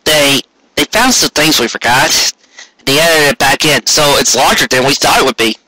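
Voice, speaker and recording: A man playing a bashful, eager male cartoon pony baker who talks in a halting, flustered way. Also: on the high side, lively intonation, sharp tone, low-quality recording. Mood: bashful, hopeful.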